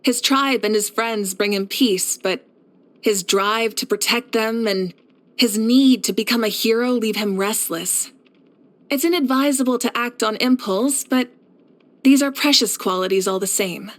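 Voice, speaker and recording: A woman voicing a steadfast, caring warrior leader in a video game, speaking in a measured, reflective and assured way. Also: on the high side, natural voice. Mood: calm, assured. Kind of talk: scripted video game character voice lines.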